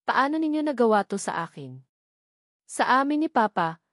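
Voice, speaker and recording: A woman's voice: a little high, sing-song, moderate pace, no echo, studio quality, voice pushed up. A woman voicing a betrayed, furious young heiress in an audio drama, accusing and emphatic in manner. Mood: shocked denial.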